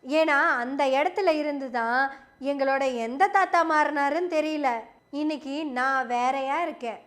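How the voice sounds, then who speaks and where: A woman's voice: high-pitched, moderate pace. A woman giving an opinion monologue to camera as a political commentator, sarcastic and full of rhetorical questions.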